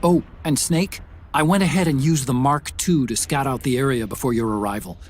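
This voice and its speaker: An actor playing an earnest, helpful tech-expert sidekick in a video game, explaining things in a friendly way. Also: male voice, medium pitch, brisk, close to an everyday voice. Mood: briefing. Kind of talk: video game cutscene acting.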